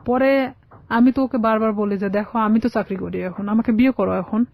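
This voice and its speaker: A woman's voice: on the high side, fast, vocal fry, highs cut off. A young woman with a Bangladeshi Bengali accent telling a personal life story as a guest in a radio interview. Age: young adult.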